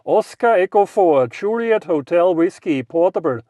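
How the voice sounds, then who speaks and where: A man's voice: high voice, lively intonation, moderate pace, warm tone, no room sound. Man narrating his radio calls, dry close sound. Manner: spells out callsigns in phonetic alphabet. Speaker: amateur radio operator and vlogger. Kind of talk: casual commentary between radio calls.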